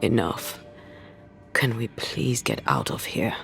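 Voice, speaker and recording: A man playing a weary, grave ghost hunter in a video game, somber and measured. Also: lowish voice, very animated, vocal fry, music in the background, full character voice. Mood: weary, shaken. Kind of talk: video game dramatic dialogue.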